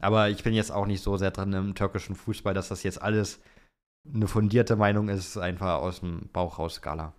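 Young male podcast host, low voice, casual and slangy chat. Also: fairly even intonation, normal speed, clean tone. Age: young adult. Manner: informal youth slang. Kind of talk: casual chat about motorsport, answering listener questions.

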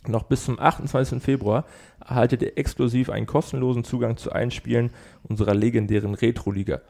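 A man co-hosting a club podcast, reading sponsor and club news in a steady way with small slips. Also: medium pitch, fast, creaky. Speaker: podcast co-host.

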